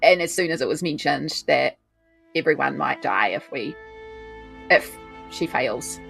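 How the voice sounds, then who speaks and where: A woman's voice: low voice, expressive, a little slow, creaky, fairly bright tone, soft background music. A woman with a New Zealand accent playing a tabletop role-playing game, chatting casually partly in character.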